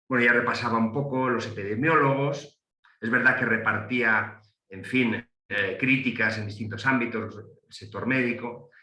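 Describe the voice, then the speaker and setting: A man's voice: mid-range voice, pushed and bright. A middle-aged man with a Galician accent, an economist speaking on a panel, hesitant with many fillers and restarts. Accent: Galician Spanish. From Galicia, Spain. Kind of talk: panel talk. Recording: roomy echo.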